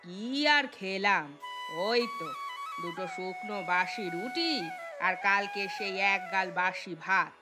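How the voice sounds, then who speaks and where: A woman's voice: medium pitch, unhurried, music in the background, natural voice. A woman voicing a gluttonous, self-pitying elderly mother-in-law in a cartoon story, with a demanding, whining manner. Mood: aggrieved.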